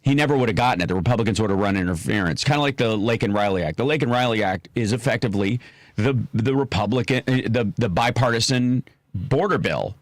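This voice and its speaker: A man's voice: mid-range voice, fast, no echo, clean studio sound. A man from Kentucky with a Midwestern accent, co-hosting a radio talk show with wry, joking banter. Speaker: guest co-host. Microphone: studio mic.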